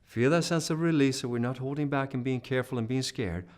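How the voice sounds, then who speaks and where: A man's voice: mid-range voice, quick pace, darkish tone. A man giving an online singing lesson, explaining patiently and checking in with "right?". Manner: demonstrates hoarse and humming sounds mid-explanation. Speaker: singing teacher. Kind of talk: instructional video lesson.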